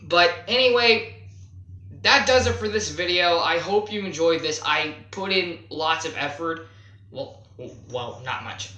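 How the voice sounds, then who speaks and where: A young man telling a casual, unscripted gaming story in a halting, self-deprecating way, stressing key words as he goes. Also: a little high, pushed and bright, muffled recording. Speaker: video creator telling a personal gaming story. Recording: roomy, echoey home recording.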